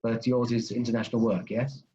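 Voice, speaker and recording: A man interviewing in a relaxed, slightly hesitant way, pausing to gather his questions. His voice has a middling pitch. The sound is dull and lo-fi. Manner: relaxed, hesitant, thinks aloud.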